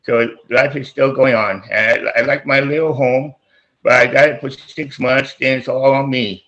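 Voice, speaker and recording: A man's voice: medium pitch, steady tone, normal speed, fairly bright tone. A man on a panel giving blunt, colloquial, unscripted opinions over a video call. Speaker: panelist, community artist. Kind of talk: impromptu opinionated remarks. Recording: muffled video-call audio.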